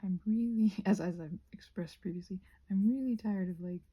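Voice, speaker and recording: A soft-spoken woman with a breathy voice that often nears a whisper, rambling casually and self-deprecatingly to herself as she crafts. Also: mid-range voice, normal speed, highs cut off. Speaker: craft and ASMR video creator.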